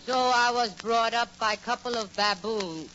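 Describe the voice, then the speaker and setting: A woman's voice: fairly high pitch, normal speed, natural voice. A woman playing a phony jungle goddess who is really a sassy city girl, with mock-exotic speech in 1940s radio comedy. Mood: mock solemn. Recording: muffled, noisy old radio recording.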